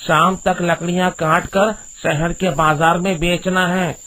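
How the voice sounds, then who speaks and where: A man's voice: high-pitched, level tone, unhurried, bright, forceful tone, a little hiss or hum. A man playing an earnest, impatient village woodcutter in a dramatised story. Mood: impatient, dismissive. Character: impatient village woodcutter, dismissive then pleading.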